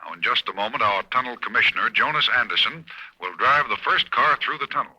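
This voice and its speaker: A bright-voiced live-event radio announcer. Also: male voice, mid-range voice, slightly rough, rough recording. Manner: brisk announcer delivery, growing alarm. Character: excited helicopter radio announcer, male. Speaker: radio drama actor. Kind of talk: 1940s radio acting.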